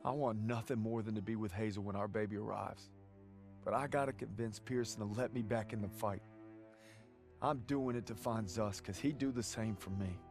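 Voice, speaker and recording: An actor playing an earnest, loyal young army private who talks plainly and steadily, in a video game cutscene. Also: male voice, fairly low pitch, moderate pace, faint music behind, natural voice. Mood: tender. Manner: plain, steady, determined delivery.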